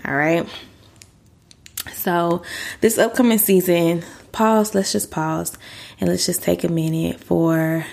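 Breathy-sounding pregnant woman, low gravelly voice. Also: steady tone, slow, pauses often, a little mellow. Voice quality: deeper than usual. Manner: earnest, chatty, uses y'all. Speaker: podcast host. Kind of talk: casual faith-based podcast talk.

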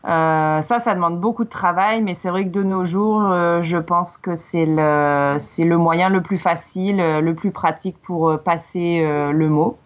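A woman's voice: lowish voice, fairly even intonation, measured pace, few pauses, muffled recording. A woman from northern France with a light northern French accent, speaking casually as a guest, with frequent hesitations and restarts. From Lille, northern France. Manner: hesitant, many fillers and restarts. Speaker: charity volunteer guest. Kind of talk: casual podcast interview. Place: lives in Melbourne.

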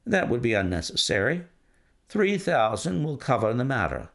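A man voicing a calm, stern male detective who speaks in a measured, authoritative way. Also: medium pitch, creaky. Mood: dry.

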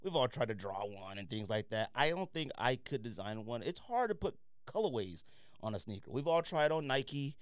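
Clear-voiced man talking sneakers, close and dry. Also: mid-range voice, sing-song, moderate pace, no echo. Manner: opinionated, slangy, emphatic repetition. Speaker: podcast host. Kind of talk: casual sneaker review chat.

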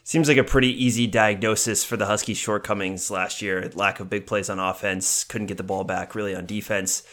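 A man's voice: lowish voice, fairly even intonation, a little fast, fairly bright tone. An American man from Seattle hosting a podcast and asking questions in a conversational sports interview. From Seattle, United States.

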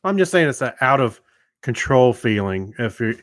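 A man's voice: medium pitch, big pitch swings, moderate pace, a bit bright, no room sound. A man in easy podcast banter who wanders through his points and laughs often. His voice sits in a mid range. The recording is dry and close. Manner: rambling, laughs often, restarts. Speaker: podcast co-host. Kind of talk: casual banter.

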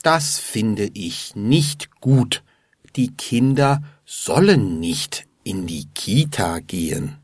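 A man playing a stubborn husband in a slow, scripted dialogue for learners. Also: lowish voice, animated, no room sound, studio quality, heavily acted. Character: stubborn husband arguing with his wife.

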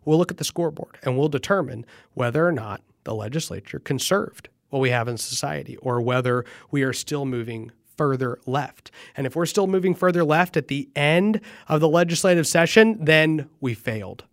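A man's voice: mid-range voice, dry recording, studio quality. A man hosting a political podcast, talking earnestly and emphatically with a stuffy, nasal voice from allergies. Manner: earnest, emphatic, rises when fired up. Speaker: podcast host. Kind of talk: political commentary monologue.

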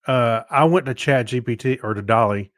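A man's voice: mid-range voice, normal speed, a bit bright, no echo, clean studio sound. A man co-hosting a podcast, chatting casually and explaining things in an amused, conversational way. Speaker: podcast co-host. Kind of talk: casual chat.

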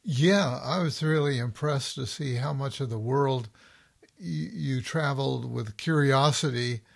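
A man hosting an interview, talking in a thoughtful, halting way with frequent restarts. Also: medium pitch, vocal fry. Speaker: podcast host. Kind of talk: conversational interview.

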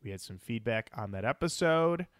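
A man's voice: mid-range voice, lively intonation, normal speed, a bit bright, no room sound, studio quality. A man co-hosting a podcast, chatting informally with wry asides and reading out listener comments. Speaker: podcast co-host. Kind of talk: casual podcast chat, reading out listener feedback.

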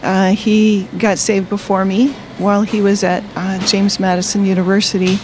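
A woman's voice: mid-range voice, flat delivery, normal speed, background noise. A woman in her sixties from Delaware, a retired teacher, telling her faith story cheerfully and laughing often in a coffee shop. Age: 60s. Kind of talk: personal testimony in conversation.

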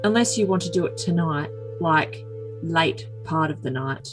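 Woman doing a low, doting young boyfriend. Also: expressive, normal speed, rough recording. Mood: earnest, concerned. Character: earnest, besotted young man, possessive doting boyfriend. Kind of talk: overly dramatic comic reading of text messages. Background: music.

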